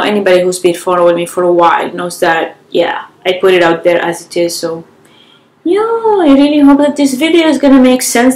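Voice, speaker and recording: Young woman, tired and stuffy-nosed, frank and unhurried, mid pitch, roomy living-room echo. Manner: frank, unhurried, with small restarts. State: nasal from a cold, tired. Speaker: vlogger. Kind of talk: casual advice talk to camera. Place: living room with sofa, indoors. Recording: echoey room.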